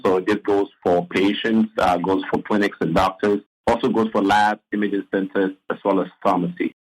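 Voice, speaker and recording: Male podcast guest from Ghana, lower voice, calm and explanatory. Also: low-quality recording. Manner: calm, explanatory, easy laugh. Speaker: guest, neurosurgeon and tech founder. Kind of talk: podcast interview.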